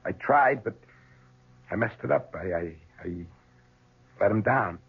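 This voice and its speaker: Very husky, bitter ex-husband. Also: male voice, lowish voice, expressive, unhurried, heavily acted. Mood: remorseful. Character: bitter, guilt-ridden ex-husband out on bail. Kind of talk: 1970s radio drama acting. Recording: tinny 1970s radio broadcast.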